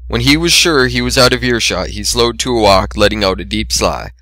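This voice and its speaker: A man reads scripted fiction aloud as an amateur narrator. His voice is slightly low but bright and forceful, in a dry, close recording. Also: animated, fairly quick, no echo. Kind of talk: dramatic reading of fan fiction, scripted narration.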